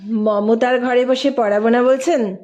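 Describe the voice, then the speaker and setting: A woman's voice: on the high side, steady tone, a little slow, a little mellow, close to an everyday voice. A woman voicing a courteous, deferential, shrewd young male teacher in a story reading. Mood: smiling, questioning.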